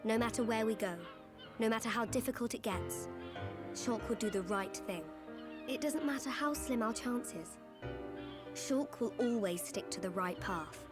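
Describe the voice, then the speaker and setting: Woman doing a devoted young heroine, higher voice, music under it. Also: measured pace, close to an everyday voice. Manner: warm and devoted.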